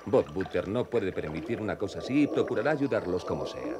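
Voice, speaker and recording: A man's voice: sits low, very animated, a little fast, music in the background. A man narrating a children's cartoon in a scripted storytelling style.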